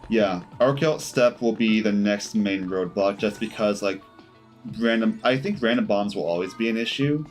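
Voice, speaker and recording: A man doing casual live game commentary, thinking aloud in a halting, self-correcting way as he talks to his chat. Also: on the low side. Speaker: game streamer. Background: game music.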